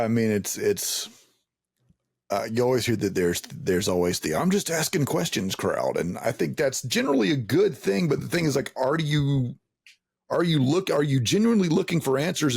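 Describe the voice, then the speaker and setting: A man's voice: medium pitch, expressive, normal speed, creaky, darkish tone, slightly rough, dry recording, clean studio sound. A middle-aged man chatting casually as a podcast host, circling his point and rephrasing mid-thought. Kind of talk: casual conversational interview.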